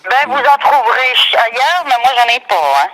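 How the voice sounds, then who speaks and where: A woman's voice: on the high side, very animated, normal speed, a bit bright. A woman working as a shopkeeper, chatting casually on the telephone in a matter-of-fact, dismissive way. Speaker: fishing shop keeper. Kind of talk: casual phone conversation. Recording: reverberant phone call, radio-like sound.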